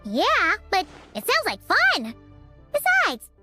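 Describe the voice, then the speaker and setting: Squeaky, bright little fairy girl. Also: huge pitch swings, slow pace, faint music behind, heavily acted. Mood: eager. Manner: childlike, third-person, exclamatory. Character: tiny excitable floating fairy girl companion, childlike and cheeky. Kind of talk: anime game voice acting.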